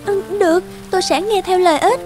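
A gentle young orphan girl in a fairy tale cartoon. Her voice is extremely high, earnest and plaintive, with a polite, tender way of speaking. Music plays clearly behind her. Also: fairly quick.

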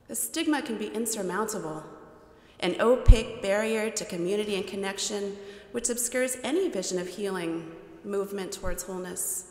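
A middle-aged woman preaching a sermon from a pulpit on a headset mic, with a measured, deliberate cadence. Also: medium pitch. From United States. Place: large church sanctuary. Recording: echoey church hall with long reverb.

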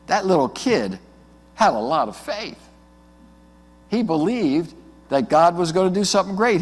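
A mature American man, a pastor, delivers an earnest sermon with emphatic phrasing and deliberate pauses inside a church. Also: on the high side. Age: middle-aged or older. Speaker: Lutheran pastor. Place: indoors at the front of a church, before the altar. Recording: reverberant church hall.

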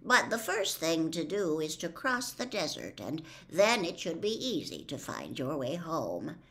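A woman's voice: low voice, sing-song, unhurried, full character voice. A woman in her sixties voicing a humble male wizard who admits to being a humbug, speaking in a mild, confiding way. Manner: mild, confiding, gently pleasant.

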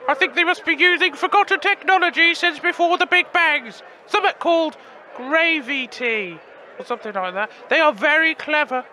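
A man's voice: very high-pitched, normal speed, pushed and bright, slight background noise, full character voice. A man playing a simple, earnest, eager wasteland punter in a comedic radio drama.

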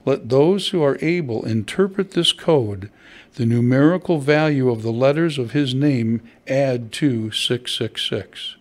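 A man's voice: mid-range voice, unhurried. An older man, a pastor, preaching a sermon at a church pulpit, conversational and asking his listeners questions. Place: church hall at a wooden pulpit. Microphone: stand mic at the pulpit.

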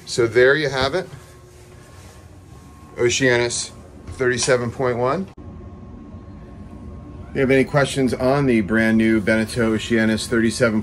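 A man's voice: fairly low pitch, slight background noise. A middle-aged man and yacht broker giving an enthusiastic, casual walkthrough of a sailboat, with frequent fillers, filmed on a handheld phone at a boat show. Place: on a sailboat at a dockside boat show, outdoors and in the cabin. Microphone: handheld phone camera.

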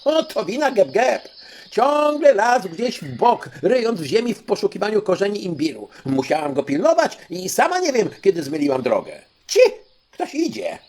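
A man voicing a sharp, bossy female parrot in a storybook, quick to defend herself. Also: high-pitched, big pitch swings, voice pushed up. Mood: indignant, defensive.

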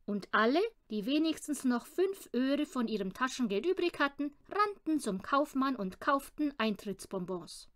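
A woman reading a children's audiobook as an expressive storyteller. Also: high voice, moderate pace, few pauses. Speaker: audiobook reader.

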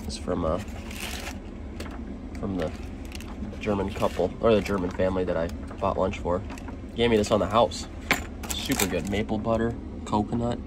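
A man's voice: on the low side, steady tone, moderate pace, pauses often. A young American man narrating a casual travel vlog in a folksy, playful way. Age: young adult. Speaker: vlogger. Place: in and around a motorhome on the road. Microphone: handheld camera at arm's length. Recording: noisy handheld outdoor and vehicle audio.

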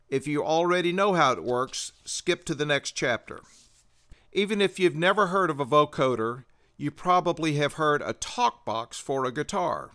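Clear-voiced man narrating a tutorial. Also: on the high side, fairly bright tone. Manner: methodical, instructional. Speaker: tutorial presenter. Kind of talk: scripted explanatory narration.

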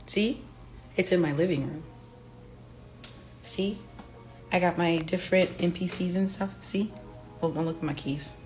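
A young woman, a singer-songwriter, chatting playfully on a livestream from her living room, her voice raspy from allergies. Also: on the low side, unhurried, long pauses, darkish tone, faint music behind. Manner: chatty, playful, breaks into lyrics. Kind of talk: casual livestream chat. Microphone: phone camera at arm's length.

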